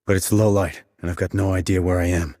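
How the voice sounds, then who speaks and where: An actor playing a terse, cool-headed sniper operative in a video game, with clipped, controlled military delivery and a low husky voice. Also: male voice, flat delivery, fairly quick, warm tone, no echo, clean studio sound, close to an everyday voice. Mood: focused, controlled. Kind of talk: military radio chatter, game dialogue.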